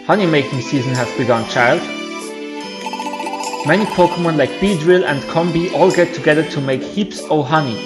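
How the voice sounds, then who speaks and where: A man's voice: fairly high pitch, moderate pace, over music, lightly acted. A man voicing a kindly grown-up village creature in a video game, folksy and protective. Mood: cautioning.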